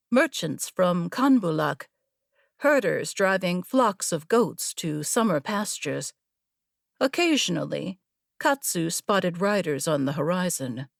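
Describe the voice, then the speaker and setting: A woman narrates dramatic fiction at a slow, deliberate pace. Her voice sits at a medium pitch, with measured storytelling delivery. The recording is dry, free of room sound. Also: creaky, no echo. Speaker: audiobook narrator.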